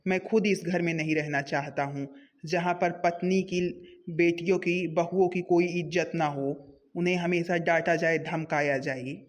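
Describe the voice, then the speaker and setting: Man playing earnest, high-voiced cartoon younger brother. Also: fairly even intonation, moderate pace, natural voice. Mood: hurt, firm. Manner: earnest, protesting. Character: principled, caring younger brother defending the household's wives. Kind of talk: Hindi family comedy cartoon voice acting.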